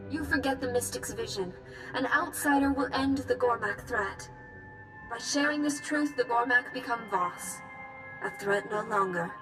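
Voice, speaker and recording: A woman's voice: a little high, moderate pace, lightly acted. A solemn alien woman played by an actress with oracular, formal delivery for a game. Her raised voice rings in the room over music. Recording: echoey room, music behind.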